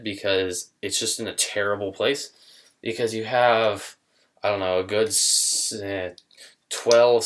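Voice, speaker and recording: A young man talking casually to camera in a small room, thinking aloud with many fillers and restarts. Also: on the low side. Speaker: musician demonstrating an instrument.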